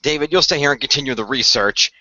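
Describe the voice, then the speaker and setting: Male squad leader for radio drama man acting, formal and hesitant, medium pitch, crisp edge, slightly dull close recording. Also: quick pace, bright, forceful tone, no room sound. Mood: calm, commanding. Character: measured, formal male team leader briefing young demon-fighting heroes. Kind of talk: amateur radio play role-play.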